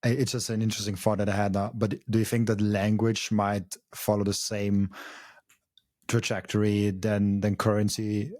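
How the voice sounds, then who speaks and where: A young Austrian man speaks English with a German accent. He chats casually and thinks aloud, circling back with fillers and restarts. His voice is low, mellow and fairly flat, and it sounds close and dry. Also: moderate pace, no room sound. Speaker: podcast host. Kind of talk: casual conversation.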